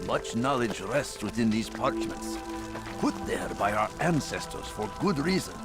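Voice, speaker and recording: A man's voice: on the low side, very animated, measured pace, loud music behind the voice. An actor playing an earnest scholar, pleading and indignant in defense of his books, in a video game cutscene. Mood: earnest, protesting.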